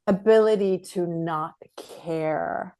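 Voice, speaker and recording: A woman's voice: fairly low pitch, takes it slowly, dark tone. A middle-aged woman from South Africa, a filmmaker guest chatting casually in an interview, rambling with many fillers and restarts.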